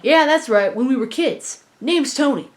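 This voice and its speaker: A woman's voice: high voice, animated, normal speed, pitched above the performer's own voice. A woman voicing a guarded, streetwise man in a casual manner, in a cartoon reading. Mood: wary. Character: streetwise adult man, guarded old friend with a secret.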